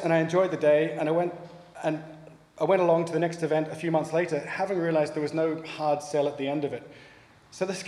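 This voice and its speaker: A man's voice: a little high, a little mellow, slight background noise. New Zealand man in an echoey hall. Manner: plain, wry and self-deprecating, clears throat. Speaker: church speaker giving testimony. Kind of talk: prepared personal testimony talk. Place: church hall. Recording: echoey hall with long reverb and faint ambience.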